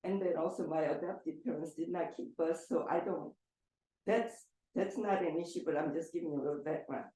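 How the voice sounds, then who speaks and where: A woman whose first language is Korean asks the panel a question in an earnest, halting way, pausing to find her words. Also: deep voice, dark tone. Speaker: audience member asking a question. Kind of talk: personal question at a public Q&A. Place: large echoey event hall. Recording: roomy hall echo.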